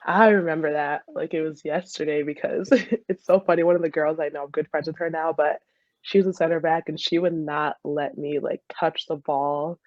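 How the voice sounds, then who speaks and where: A woman's voice: low-pitched, fairly even intonation, normal speed, darkish tone, no room sound, dull audio. A young woman with an American accent, telling anecdotes casually as an interview guest and often giggling as she talks. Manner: giggly, laughs often while talking. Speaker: interview guest, former college soccer player.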